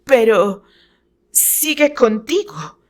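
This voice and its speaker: A woman's voice: fairly high pitch, sing-song, extremely slow, heavily acted. A woman voicing a jealous, heartbroken but defiant woman in a radio drama, speaking emphatically.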